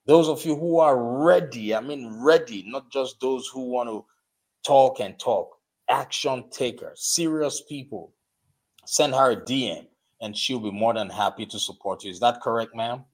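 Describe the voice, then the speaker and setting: Emphatic male podcast host. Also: medium pitch. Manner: emphatic, exhorting listeners, spells out names slowly. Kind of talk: motivational interview show.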